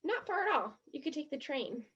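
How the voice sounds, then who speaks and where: A woman acts the part of an eager teenage girl in a stage play. Her voice sits high, and she speaks with gushing, dramatic earnestness. The sound is a little muffled and lo-fi. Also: sing-song, a little fast, a bit bright. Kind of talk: one-act stage play acting.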